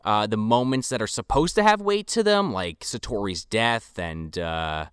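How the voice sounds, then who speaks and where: A man's voice: fairly low pitch, expressive, normal speed, fairly bright tone, clean tone, no echo, studio quality. A man hosting a podcast, rambling through a casual movie review with profane jokes. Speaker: podcast host.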